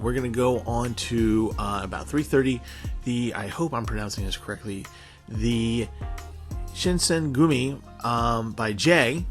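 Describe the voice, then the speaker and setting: Guy doing a chatty promo vlog, kinda rambly, trips on words, bit of music behind him. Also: mid-range voice. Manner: informal, stumbling with repeated words. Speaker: online convention panelist and show co-host.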